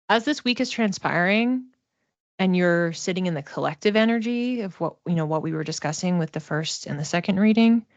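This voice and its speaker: A woman's voice: medium pitch, no room sound, muffled recording. A woman giving an informal spiritual card reading to one listener in a reassuring, conversational manner. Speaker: psychic oracle card reader and mentor.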